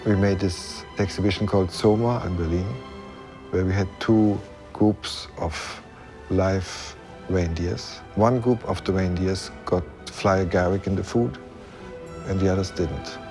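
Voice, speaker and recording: Deep-voiced man being interviewed. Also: fairly even intonation, a little slow, darkish tone, faint music behind. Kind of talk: conversational interview.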